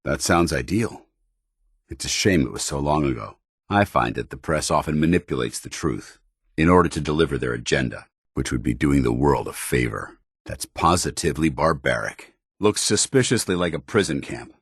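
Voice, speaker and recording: An actor playing a stern, honor-bound soldier in a video game, speaking in a formal, commanding and earnest military way. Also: male voice, a bass voice, animated, normal speed, husky, no echo, studio quality, heavily acted. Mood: measured, opinionated. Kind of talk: video game companion dialogue.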